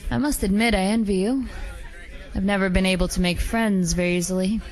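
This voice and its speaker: A woman voicing a polite, gentle female werewolf dancer who is secretly an assassin, in a hobby audio drama. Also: medium pitch, steady tone, a little fast, lightly acted, low-quality recording. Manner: polite, gentle, softly coaxing.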